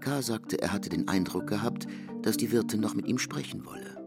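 A man narrating a literary radio play, with loud music behind him. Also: on the low side, fairly quick, creaky.

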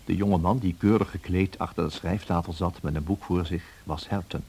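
An actor playing a refined gentleman who recounts his memories in a measured, formal radio play narration. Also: sits low, fairly even intonation, breathy edge. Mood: composed, reflective.

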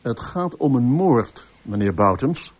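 Radio drama: firm, polite detective inspector, husky medium-pitched voice. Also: male voice, very animated, a little slow, darkish tone. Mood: firm, probing. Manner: polite, authoritative. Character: composed, firm male police inspector. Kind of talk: Dutch radio drama acting.

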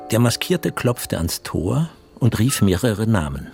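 A man narrating a literary radio drama as a composed, measured storyteller. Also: fairly low pitch, sing-song, creaky, a little mellow, heavily acted. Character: composed third-person storyteller. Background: faint music.

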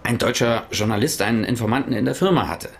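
A man's voice: mid-range voice, fast, vocal fry, natural voice. An actor playing an evasive man in a radio play, defending himself under questioning and stammering. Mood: defensive. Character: evasive male press writer under questioning.

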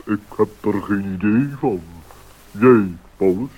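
An actor playing a solemn, self-important old owl in a radio play, with a husky voice. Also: male voice, fairly low pitch, slow pace, darkish tone. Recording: dull lo-fi radio play recording.

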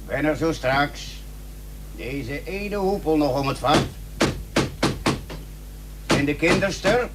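A man's voice: a little high, animated, slow, pauses often. An actor playing a stern, grumbling working-class father, a cooper, in a radio play. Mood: gruff. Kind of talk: Dutch radio play acting. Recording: hissy old radio play recording.

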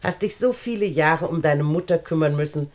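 An actress playing a wealthy, condescending older aunt in a German radio play, speaking standard German in a deliberate, haughty manner. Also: female voice, sits low, a little mellow, natural voice. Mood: smug. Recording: studio radio drama recording.

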